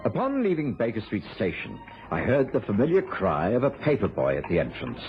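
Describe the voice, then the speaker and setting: An actor playing a genteel, amiable English doctor who also narrates, in a British radio drama. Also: male voice, fairly low pitch, wildly swooping pitch, fairly quick, faint music behind. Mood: narrating. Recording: dull old radio recording.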